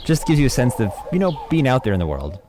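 A man's voice: medium pitch, sing-song, fast, dark tone, slight background noise. A man giving informal, chatty instruction as an audio drama producer, with wry humour.

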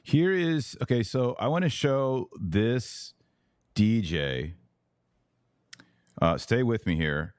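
A man's voice: mid-range voice, animated, slow pace, pauses often, darkish tone, no room sound, studio quality. A man hosting a podcast interview, chatting informally and thinking aloud as he goes. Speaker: podcast host. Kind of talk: casual interview chat.

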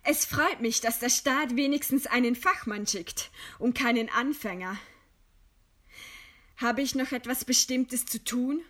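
A condemned woman writer in a radio drama. Her voice is fairly high and she speaks very slowly, weighing each phrase. She stays composed and proud, with ironic scorn. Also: a bit bright. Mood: cool irony. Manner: slow, deliberate, ironic. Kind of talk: German radio play acting.

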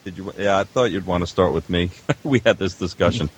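A middle-aged or older man, an audio engineer guest, casually telling stories in a wry, halting way. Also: deep voice, slightly rough, rough recording.

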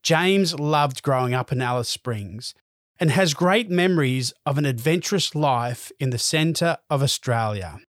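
A man reading calm, measured scripted narration for a documentary feature. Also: mid-range voice, dry recording, studio quality.

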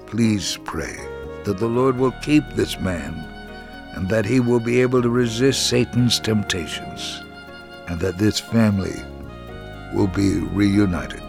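A man's voice: lowish voice, measured pace, creaky, over music. A man reading a scripted first-person devotional story as a narrator. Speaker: story reader.

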